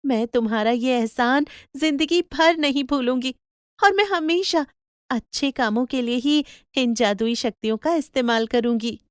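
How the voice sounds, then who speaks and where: A woman voicing a kind, excitable young married village woman in a cartoon story, pleading and gushing. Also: high voice, moderate pace, no room sound, studio quality, voice pushed up, natural voice. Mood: grateful. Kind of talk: Hindi cartoon story acting.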